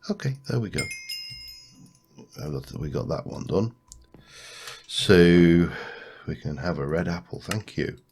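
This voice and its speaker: A man's voice: deep voice, lively intonation, slow, long pauses, noisy background. A man with a congested, cold-stuffed voice, thinking aloud as he hosts a casual gameplay commentary. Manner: thinks aloud, muttering to himself. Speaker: let's play host.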